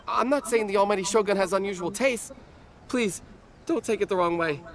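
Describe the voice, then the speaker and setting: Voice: mid-range voice, brisk, crowd noise, natural voice. An actor voicing a humble, nervous young food-stall vendor in a video game, deferential, hesitant and earnest. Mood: sheepish. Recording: music behind the voice.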